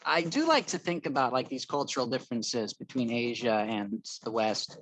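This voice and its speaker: Medium-pitched man, rambling casual explainer. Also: lively intonation, moderate pace, a bit bright, no echo, poor audio. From Thailand. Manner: rambling, tentative, hedged explanations. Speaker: chat participant. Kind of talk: casual chat, explaining ideas.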